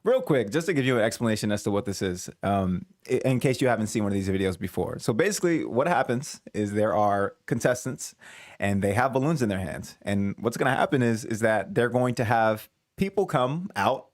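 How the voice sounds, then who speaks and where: A man's voice: lowish voice, expressive, fairly quick, dry recording, clean studio sound. A man commenting casually on a dating show video, rambling, correcting himself and chuckling at his own asides. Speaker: reaction video host.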